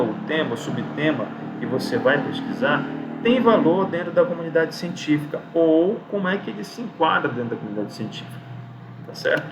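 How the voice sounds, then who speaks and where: Guy lecturing casually, asks questions and answers them himself, noisy background. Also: on the high side. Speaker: lecturer giving academic writing advice. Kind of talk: informal video lesson addressing the viewer.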